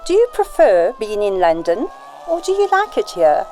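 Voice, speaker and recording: A woman's voice: mid-range voice, very animated, moderate pace, darkish tone, slight background noise, natural voice. A woman acting a poised, flirtatious informant in an amateur radio drama, polite and coy. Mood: friendly, curious.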